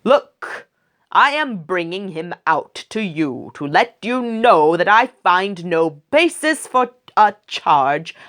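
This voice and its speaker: A woman's voice: lowish voice, sing-song, slow, a bit bright, exaggerated voice. A woman voicing an uneasy male Roman governor in a read-aloud scripture story, raised and questioning.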